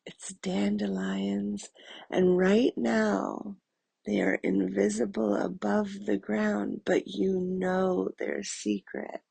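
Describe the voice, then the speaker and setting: Very slow, breathy woman guiding meditation. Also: medium pitch, expressive, no echo. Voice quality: soft, breathy, husky. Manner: slow, soothing, with long pauses. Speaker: meditation guide. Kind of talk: guided meditation narration.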